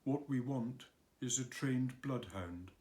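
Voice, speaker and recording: A man's voice: mid-range voice, slow, warm tone, lightly acted. A man voicing a gloomy, grumbling male donkey in a storybook reading, sighing and self-pitying.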